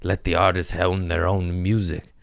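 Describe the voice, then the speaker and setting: A man hosting a podcast, rambling through loose, profane jokes in casual chat with a deep voice. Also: little pitch movement, moderate pace, creaky, no room sound, clean studio sound. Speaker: podcast host, comedian.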